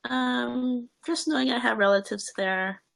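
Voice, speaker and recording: A young woman talks through interview answers with a gravelly, creaky edge to her voice. Her tone is bright and a little tense, and she stops often to restart her sentences. She uses plenty of fillers as she goes. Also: a little high, unhurried. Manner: hesitant, many fillers and restarts.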